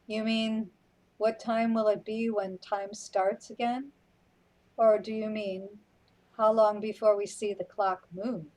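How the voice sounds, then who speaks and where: A woman playing a wry, weary companion in a radio play, speaking in a deliberate way. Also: mid-range voice, level tone, darkish tone, lightly acted, rough recording. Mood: wry, unruffled. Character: unhurried, matter-of-fact companion, wry and weary.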